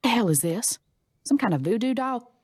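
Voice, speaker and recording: High, exasperated cartoon grouch. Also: female voice, lively intonation, normal speed, a little mellow, breathy edge, dry recording. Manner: indignant, exclaiming. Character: irritable, exasperated cartoon character. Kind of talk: cartoon voice acting. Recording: noisy show clip.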